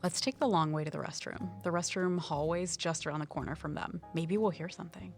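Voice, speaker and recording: A woman's voice: fairly low pitch, fairly quick, faint music behind, natural voice. An actress playing a knowledgeable woman conservation officer and sleuthing sidekick in a naturalistic audio drama, informative and wry. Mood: gossipy.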